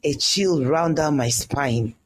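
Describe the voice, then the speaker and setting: A storyteller reading a scripted first-person tale in a dramatic, deliberate way. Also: mid-range voice, slight background noise.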